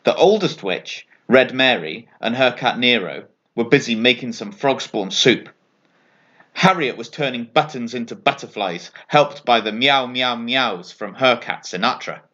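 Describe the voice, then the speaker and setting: A man reading a children's bedtime story aloud as an expressive narrator. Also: medium pitch, unhurried, highs cut off.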